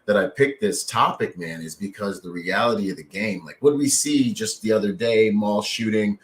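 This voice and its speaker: A male podcast host with a fairly low voice, chatting in an easygoing way. He leans on slang and keeps nodding along with short fillers as his guest talks. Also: moderate pace. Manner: laid-back slang, lots of agreeing fillers. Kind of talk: casual podcast interview.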